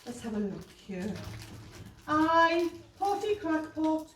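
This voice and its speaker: A woman's voice: sits high, sing-song, takes it slowly, full character voice. A woman playing a flustered, melodramatic mother in a pantomime, exclaiming theatrically. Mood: fretful. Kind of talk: British pantomime stage acting. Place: live stage performance. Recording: distant echoey stage recording with noise.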